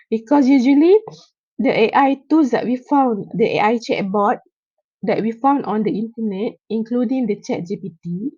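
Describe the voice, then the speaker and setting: A woman gives a classroom lecture, her voice nasal and stuffy from a cold. She speaks slowly in a mellow, fairly high voice, pausing on fillers and asking "okay?" to check her students follow. The sound is dry and a little muffled. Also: dry recording. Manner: explains slowly with many fillers and check-ins. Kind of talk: classroom lecture on software tools.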